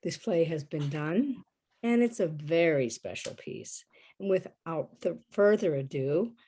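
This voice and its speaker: A woman with a fairly low voice hosts a live show. She speaks in a casual introducing style. Her voice is starting to give out on her. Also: animated, unhurried, dark tone, husky. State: losing her voice. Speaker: show host.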